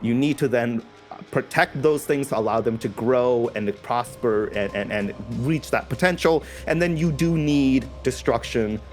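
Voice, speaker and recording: A man's voice: mid-range voice, measured pace, faint music behind. A man from India who is a podcast guest, explaining in casual conversation with a thoughtful, self-correcting manner.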